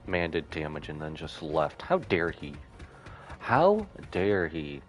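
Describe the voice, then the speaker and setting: Guy with a deep voice streaming a game, kinda talking to himself, pitch jumps around, music playing. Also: huge pitch swings, slow. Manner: thinks aloud, mutters to himself. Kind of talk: live gameplay commentary, casual chat.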